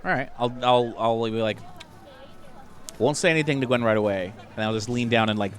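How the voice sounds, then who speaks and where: A man playing a tabletop game, describing his actions in informal, halting, improvised chat. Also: mid-range voice, fairly even intonation, a bit bright.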